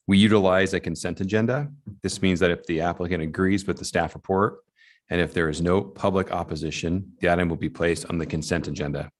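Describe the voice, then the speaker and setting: A man chairing a public hearing, setting out formal procedure in a deliberate, procedural manner. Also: low voice. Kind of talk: formal meeting procedure, partly read out.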